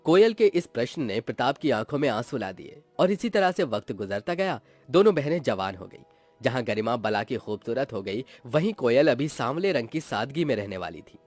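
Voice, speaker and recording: A man's voice: medium pitch, very animated, moderate pace, darkish tone, faint music behind. A man narrating a moral cartoon story in a scripted, melodramatic storytelling style.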